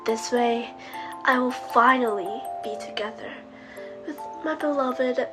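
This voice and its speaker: A woman voicing a polite, wistful, possessive serpent in a scripted, theatrical monologue, speaking gently and formally. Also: fairly high pitch, slow, over music. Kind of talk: scripted character monologue to the listener.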